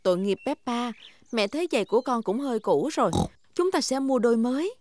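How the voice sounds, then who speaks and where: A kind cartoon mother pig, acted by a woman, speaks in a slightly high voice. She is patient and softly encouraging, as if talking to small children. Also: lively intonation, brisk, close to an everyday voice. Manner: gentle, reassuring. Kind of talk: dubbed preschool cartoon dialogue.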